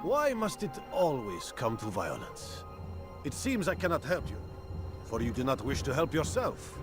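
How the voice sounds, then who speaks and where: A taunting slave trader. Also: male voice, mid-range voice, wildly swooping pitch. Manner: taunting, slow and theatrical. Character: scheming male slave trader, mocking and cold. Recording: game cutscene over loud music score.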